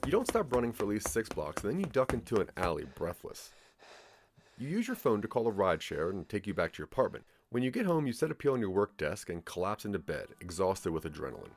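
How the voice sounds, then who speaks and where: A man giving a dramatic, scripted second-person story narration in an expressive storytelling manner. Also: lowish voice, fast, dry recording.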